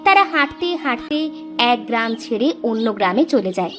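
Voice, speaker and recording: Female storyteller for a cartoon, even and clear, slightly high. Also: normal speed, music in the background. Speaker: narrator. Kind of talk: scripted storybook narration.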